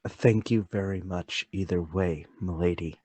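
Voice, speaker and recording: Casting: male actor for anxious, courteous salesman in radio drama; husky, unhurried, deferential delivery. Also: sits low, steady tone, a little mellow, dry recording. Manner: deferential, hesitant. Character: meek, polite, anxious little salesman. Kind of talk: radio play table read.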